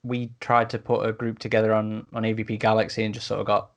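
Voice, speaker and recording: A man's voice: lowish voice, level tone, quick pace, creaky. A man talking casually as a podcast host, often repeating words as he searches for the next one.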